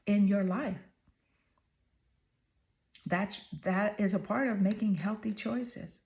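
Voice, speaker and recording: Hoarse woman giving a tarot reading. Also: medium pitch, dark tone. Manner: soothing, affirming, repeats "right?". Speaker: tarot reader.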